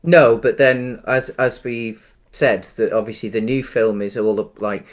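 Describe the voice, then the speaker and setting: A man co-hosting a casual film discussion, speaking in a halting, hesitant way with frequent ums. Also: mid-range voice, fairly even intonation. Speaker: podcast co-host.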